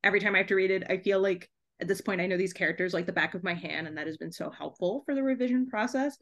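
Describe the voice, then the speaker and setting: A woman's voice: mid-range voice, fast talker, fairly bright tone. Giggly woman chatting casually. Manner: giggly, laughs often while talking. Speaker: guest author and TV trailer writer. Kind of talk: casual podcast interview.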